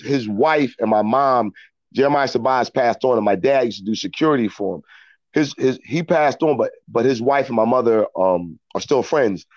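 Middle-aged man, American accent, medium pitch, reflective interview storytelling, halting repetitive phrasing, slightly dull dry audio. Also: a little mellow, dry recording. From Philadelphia, United States. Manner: halting, repeats words as he thinks. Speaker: interview guest, actor and storyteller. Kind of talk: reflective personal storytelling in conversation.

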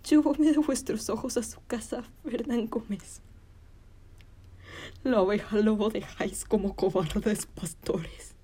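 A woman performing a classical Spanish verse monologue as a wronged, defiant young village woman, declaiming slowly and with anguish in a breathy, husky voice. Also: on the high side, steady tone, dark tone. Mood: anguished, reproachful. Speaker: drama student actor.

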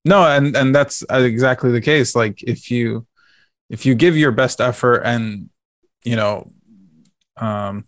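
A man's voice: medium pitch, unhurried, no room sound, studio quality. A man who sounds like he is in his thirties, chatting casually as a podcast co-host. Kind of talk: casual chat.

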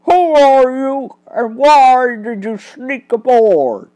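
A woman doing a loud rough thug. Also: high voice, lively intonation, slow, no echo, pitched above the performer's own voice, low-quality recording. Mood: threatening. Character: menacing male gang thug, loud and gloating.

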